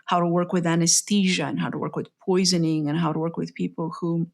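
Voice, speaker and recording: A woman's voice: lowish voice, level tone, fast talker, gravelly, a little mellow. A middle-aged woman from Bulgaria, a therapist, telling her story in a reflective, thoughtful way during a conversation. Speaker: author and somatic therapist guest. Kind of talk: casual interview conversation.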